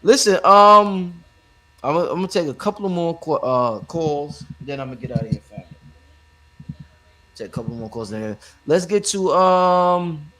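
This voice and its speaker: A man's voice: a little high, expressive, moderate pace, bright, forceful tone, poor audio. A man hosting a casual call-in show, tired and laid-back, chatting loosely with callers. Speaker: live show host.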